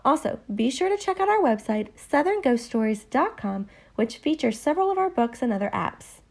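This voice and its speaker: A woman co-hosting a podcast, reading a scripted history with lively, earnest emphasis. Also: sits high, fairly quick, creaky. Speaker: podcast co-host. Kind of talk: scripted narration.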